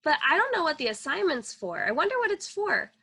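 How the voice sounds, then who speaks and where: A young woman co-hosting a children's read-aloud on a video call, teaching with enthusiastic questions in an animated way. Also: high voice, a little fast, gravelly.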